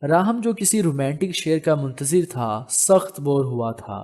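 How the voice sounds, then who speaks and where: A man narrating a scripted romance novel as an audiobook, in a measured, literary storytelling manner. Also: a little high, big pitch swings, darkish tone.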